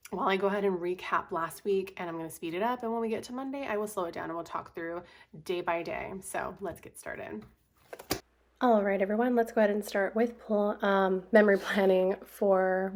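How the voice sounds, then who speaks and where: A young woman with a hoarse voice, chatting casually over her own planner video, rambling with lots of fillers and small laughs. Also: lowish voice. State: extra hoarse after a workout. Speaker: planner vlogger.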